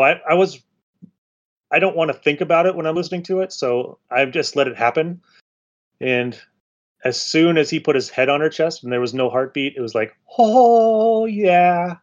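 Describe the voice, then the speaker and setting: A man's voice: fairly high pitch, moderate pace, dull audio. An American man hosting a podcast in casual, rambling conversation. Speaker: podcast host. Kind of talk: casual chat.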